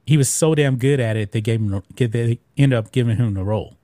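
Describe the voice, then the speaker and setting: A man's voice: mid-range voice, fairly quick, mellow, no echo. A man co-hosting a podcast in casual chat, talking in a chatty, digressive way and trailing off into fillers. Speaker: podcast co-host.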